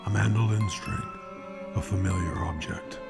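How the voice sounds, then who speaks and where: An actor playing a sardonic, ominous narrator of true-crime murder tales in an old-time radio mystery style, with dramatic pauses. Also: male voice, on the low side, steady tone, creaky, over loud music. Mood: grim, ominous.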